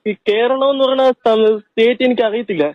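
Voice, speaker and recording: A man's voice: very high voice, steady tone, fast talker, no room sound. A man making a prank call, pleading with feigned innocence through a radio-like line. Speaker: prank caller. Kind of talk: mock-earnest phone conversation. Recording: dull, band-limited phone line.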